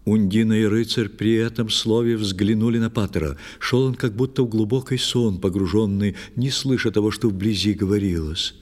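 A man narrating a children's audio play in verse with expressive, measured, dramatic storytelling. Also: on the low side.

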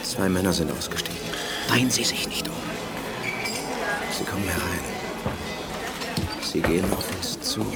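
An actor playing an earnest, polite and idealistic telepath in a 1980s German radio play, speaking haltingly. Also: male voice, deep voice, lightly acted. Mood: urgent, hushed. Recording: music behind studio radio drama.

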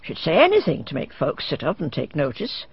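A woman's voice: deep voice, huge pitch swings, fairly quick, creaky, husky, dry recording, full character voice. An actress playing a sly old village woman who tells fortunes and dresses as a witch, talking in a cryptic, gossiping, rustic way in a radio drama. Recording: dull dry radio drama recording.

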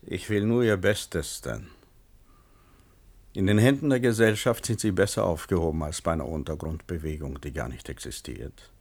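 An actor playing a condescending company loyalist and betrayer in a German radio play. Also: male voice, deep voice, normal speed. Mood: smug. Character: treacherous, condescending company loyalist posing as helper.

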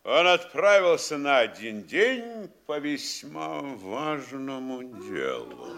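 An actor playing a puffed-up, boastful provincial mayor in a theatrical radio play, pompous and exclamatory. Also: male voice, medium pitch, sing-song, slow, fairly bright tone, exaggerated voice. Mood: self-important.

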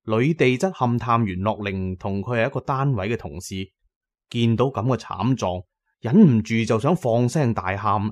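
A man's voice: mid-range voice, normal speed. A man with a Cantonese accent narrating an adventure novel as scripted, dramatic storytelling. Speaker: audiobook narrator.

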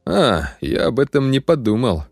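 A man voicing a good-natured young soldier, naive and friendly, a bit sheepish. The voice sits higher than the narrator's low register and moves with an animated swing. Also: moderate pace, vocal fry, no room sound, soft background music. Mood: cheerful. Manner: friendly, easygoing, a little sheepish.

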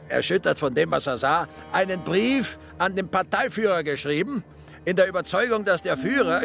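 Radio drama: haunted prisoner-narrator, high voice, very lively pitch, music underneath. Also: male voice, gravelly, exaggerated voice. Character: male Gulag prisoner recounting camp life, haunted. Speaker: actor narrating in a radio play. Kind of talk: literary radio play narration. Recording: music behind the voice.

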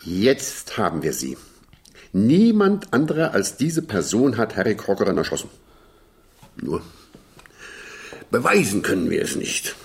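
An actor playing a shrewd, reflective, self-assured sleuth in a radio crime drama, considered and knowing. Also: male voice, fairly low pitch, huge pitch swings, a little slow, long pauses, full character voice. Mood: grimly certain. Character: shrewd, reflective male sleuth, sure of himself. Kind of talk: 1970s German radio crime drama acting.

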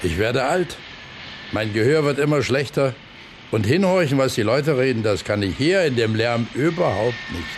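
Male actor as stoic older workman foreman, medium pitch, plain and unyielding, radio drama. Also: animated, normal speed, slight background noise, close to an everyday voice. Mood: dry, stern. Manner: blunt, dry, unyielding. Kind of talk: German radio drama acting.

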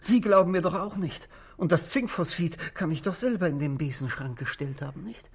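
An actor playing an anxious, brooding husband in a 1960s German radio play, polite and halting, with a hushed, breathy voice. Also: male voice, a little high, animated. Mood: grimly resolved. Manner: hesitant, halting, apologetic, dropping to a whisper.

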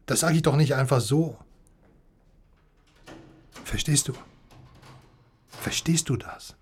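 An actor in a German radio drama playing a loyal, hot-tempered friend, blunt and emotional, repeating words and dropping into whispers. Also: male voice, mid-range voice, takes it slowly, slight background noise, lightly acted. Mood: upset, tender.

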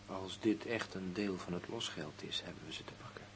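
A man's voice: low-pitched, fairly quick. An actor playing a puzzled, compliant male sidekick in a crime radio drama. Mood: quiet. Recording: clearly noisy, humming recording.

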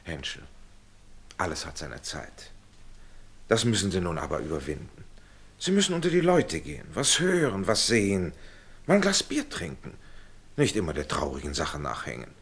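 A husky, animated gentleman adviser. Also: deep voice, moderate pace, long pauses, heavily acted. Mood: urging, consoling. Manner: emphatic, persuasive, educated phrasing. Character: well-meaning gentleman friend, paternal, urging, somewhat worn. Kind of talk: expressive German radio play acting.

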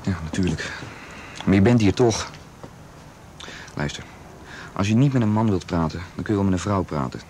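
A man's voice: low voice, expressive, normal speed, pauses often, warm tone. A man playing an earnest, protective young friend in a radio drama, urging and reassuring with insistence. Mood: calm, persuasive. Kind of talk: naturalistic radio drama acting. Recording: noisy old radio drama recording.

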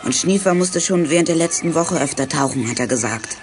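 A clever, eager boy sleuth in a children's detective radio play. His voice is fairly high, with music clearly heard behind it. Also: animated, brisk. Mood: reporting eagerly. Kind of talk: 1980s children's detective audio play acting.

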